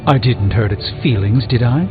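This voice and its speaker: A proud sorcerer scholar from a video game, acted by a man, formal and drily disdainful. The voice rings in long reverb, with a thin muffled sound and clear music underneath. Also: medium pitch, moderate pace, close to an everyday voice. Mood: dry, unamused. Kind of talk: video game dialogue acting.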